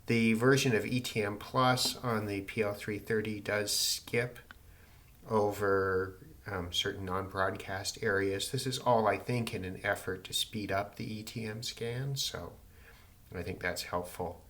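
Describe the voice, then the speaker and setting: A man's voice: lowish voice, steady tone, measured pace, fairly bright tone. A man giving a casual, unscripted demonstration, matter-of-fact and thinking aloud as he goes. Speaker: radio hobbyist demonstrating a receiver. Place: indoors at home in a city.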